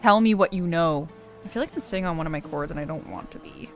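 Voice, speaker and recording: A woman's voice: low voice, quick pace. Chatty young woman gamer. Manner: chatty, playful. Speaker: gaming host. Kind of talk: casual let's play commentary, reading story text aloud. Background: faint game music.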